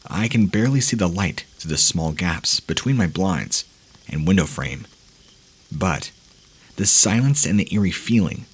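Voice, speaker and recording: A man reading listener-submitted scary stories aloud in a measured, ominous storytelling manner. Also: sits low, slightly rough. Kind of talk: scripted horror narration.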